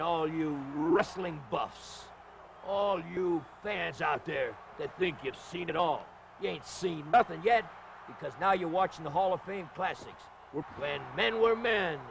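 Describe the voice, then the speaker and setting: A man calling wrestling as an excited commentator, with hyperbolic, exclamatory showman patter. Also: high voice, gravelly, slight background noise.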